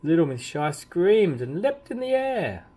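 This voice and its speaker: A man reading a children's story aloud expressively, with deliberate emphasis and drawn-out key words. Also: high voice, very animated, mellow. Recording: clearly audible background noise.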